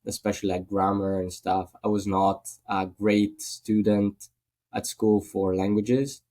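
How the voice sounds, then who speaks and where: A man's voice: fairly low pitch, level tone, unhurried, warm tone. A man from Italy with an Italian accent, a guest in a casual podcast interview, speaking thoughtfully with many fillers.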